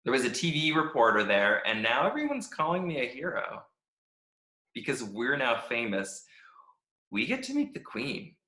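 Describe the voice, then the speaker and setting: A man reading a children's storybook as a cheerful, oblivious talking stick, gushing with naive excitement. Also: mid-range voice, moderate pace. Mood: proud, upbeat. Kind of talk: animated children's storybook read-aloud. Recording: echoey room.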